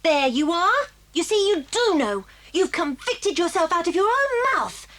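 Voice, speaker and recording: A woman's voice: extremely high pitch, big pitch swings, measured pace, a bit bright, full character voice. An actress playing an indignant, plucky schoolgirl in an audio drama, scolding and emphatic. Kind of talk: 1980s audio drama acting.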